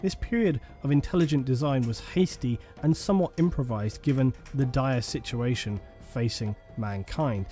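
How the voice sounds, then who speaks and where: Male narrator for a lore documentary: dark, relaxed voice, steady solemn delivery, faint music bed. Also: medium pitch. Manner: measured, solemn storytelling.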